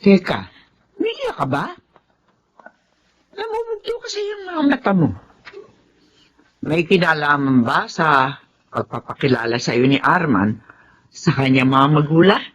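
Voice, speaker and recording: Animated motherly Filipino woman. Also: low-pitched, a little slow, pauses often, exaggerated voice. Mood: concerned. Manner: motherly, expressive. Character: caring, plain-spoken middle-aged mother, a street vendor. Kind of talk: Filipino radio drama acting. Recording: faint background noise.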